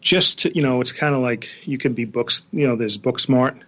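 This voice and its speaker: A middle-aged man, answering casually as an interview guest in a plainspoken, halting way. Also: medium pitch, a bit bright. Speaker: interview guest, accountant and former police officer. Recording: dull, lo-fi remote connection.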